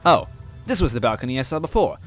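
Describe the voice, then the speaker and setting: A man reading a first-person story aloud in expressive, scripted narration. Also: mid-range voice, fast, soft background music. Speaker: story reader.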